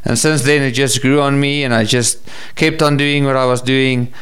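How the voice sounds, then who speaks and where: A man's voice: medium pitch, steady tone, normal speed, fairly bright tone, clean tone. A man with a South African accent answering interview questions conversationally as a guest. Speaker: professional hunter and safari business owner, interview guest.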